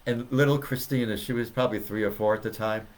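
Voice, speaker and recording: A slightly hoarse man co-hosting a casual chat, telling stories in a jovial way and laughing often. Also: on the low side, fairly even intonation, fast, slight background noise. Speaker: co-host. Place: South Florida.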